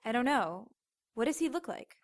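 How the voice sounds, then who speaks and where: An actress playing a chatty, casually sarcastic young motel desk clerk in an audio drama. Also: female voice, a little high, animated, moderate pace. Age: young adult. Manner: casual, sarcastic, then terrified.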